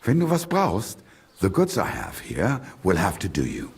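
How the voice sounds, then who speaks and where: Gravelly, grumbling German trader. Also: male voice, low voice, huge pitch swings, normal speed, heavily acted. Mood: matter-of-fact. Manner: grumbling, mixing German phrases in. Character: grumbling, world-weary German-speaking village trader. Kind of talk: video game character acting.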